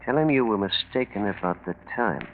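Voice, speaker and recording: A man's voice: on the low side, expressive, close to an everyday voice. A man playing a brash, slippery small-time crook who bullies and persuades in slang in a 1940s radio drama. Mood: scheming. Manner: slangy, bullying, fast-talking persuasion. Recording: tinny, faintly noisy 1940s radio recording.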